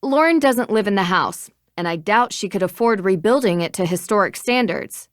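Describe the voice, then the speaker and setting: A woman playing a poised young female amateur detective in a video game, speaking with an American accent in a measured, articulate, matter-of-fact way. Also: mid-range voice, animated, dry recording, clean studio sound, close to an everyday voice. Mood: earnest, explaining. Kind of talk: scripted game dialogue.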